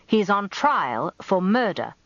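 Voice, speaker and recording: A woman voicing a gruff older male barrister husband, terse, dry and sardonic, in a radio drama. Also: medium pitch, very animated, slow pace, a bit bright, no room sound, lo-fi sound, exaggerated voice. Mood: sharp.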